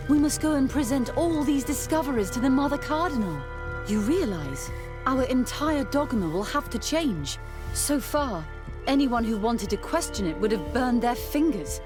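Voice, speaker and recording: An actress playing an earnest, fervent scholarly nun in a fantasy video game. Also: female voice, fairly high pitch, animated, moderate pace, lightly acted. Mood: urgent. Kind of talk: scripted fantasy game dialogue. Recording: game music behind the voice. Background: game music.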